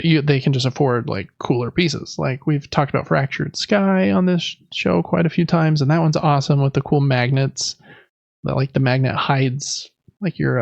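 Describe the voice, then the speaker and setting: Man, podcast co-host, slightly high creaky voice, casual show-and-tell, hesitant informal delivery, dull dry recording. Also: no echo. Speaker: podcast co-host reviewing board games. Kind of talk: casual show-and-tell chat about board games.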